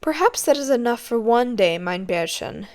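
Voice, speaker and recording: A woman voicing a gentle German man, a doting music teacher and babysitter, who speaks in a soft coaxing way with German words mixed in. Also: a little high, expressive, normal speed. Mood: soft, tender.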